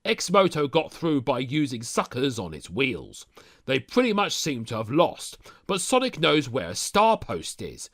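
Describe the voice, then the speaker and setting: A man's voice: medium pitch, wildly swooping pitch, measured pace, fairly bright tone. A man narrating a scripted comic story recap, dropping sardonic asides to the listener. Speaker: narrator of a fan audio story.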